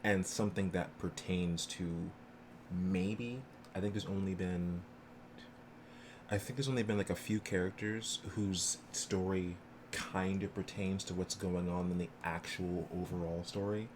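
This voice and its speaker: Deep voiced guy streaming a game, talking casual to chat, noisy game sound behind him. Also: fairly even intonation, unhurried. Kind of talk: casual livestream chat with viewers. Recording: clear noise behind the voice.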